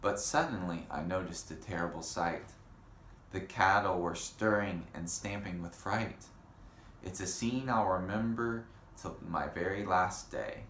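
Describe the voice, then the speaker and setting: A man reading a children's picture book aloud in an unhurried way, pausing for casual comments and questions. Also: deep voice, a bit bright. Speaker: storybook reader. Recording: roomy echo with faint background noise.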